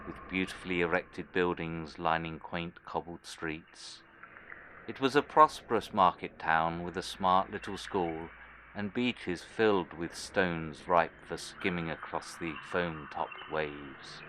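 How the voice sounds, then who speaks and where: Deep, brooding immortal sea captain cultist. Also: male voice, slight background noise, exaggerated voice, poor audio. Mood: proud, devout. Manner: brooding, deliberate, trailing into quiet phrases. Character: immortal former sea captain turned cold, devout cultist. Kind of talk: dramatic scripted monologue, horror audio drama.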